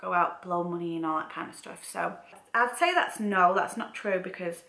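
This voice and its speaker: A woman's voice: on the low side, normal speed, sharp tone. A young woman with a Mancunian accent tinged with Scouse, chatting casually and informally in a vlog, rambling and repeating herself for emphasis. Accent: Mancunian with some Scouse. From Manchester, England. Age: young adult. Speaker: vlogger. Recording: roomy, echoey home room.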